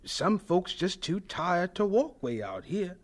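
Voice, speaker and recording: An actor playing an earnest, polite young American student in a radio drama, deferential and hesitant yet insistent. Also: male voice, high-pitched, very animated, slow, exaggerated voice. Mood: earnest, determined. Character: earnest, polite, determined young male student running laundry.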